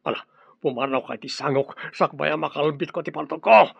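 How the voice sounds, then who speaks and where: A man's voice: on the high side, fairly even intonation, moderate pace, vocal fry, slightly rough, no echo, full character voice. A man playing a hot-tempered, threatening crime boss in an Ilocano-accented radio drama, barking out commands. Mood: angry, threatening. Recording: dull, lo-fi radio drama audio.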